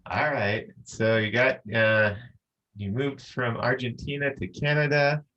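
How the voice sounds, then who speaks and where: A man's voice: medium pitch, steady tone, unhurried, bright, forceful tone, muffled recording. A man hosting an interview podcast, asking casual questions with frequent fillers. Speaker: podcast host.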